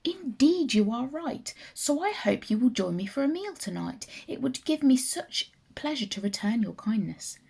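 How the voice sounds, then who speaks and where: A woman voicing a courteous lady stork in a children's fable, formal and effusively polite with quiet cunning. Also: high-pitched, very animated, moderate pace, creaky, few pauses, husky, pitched above the performer's own voice, exaggerated voice. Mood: gracious.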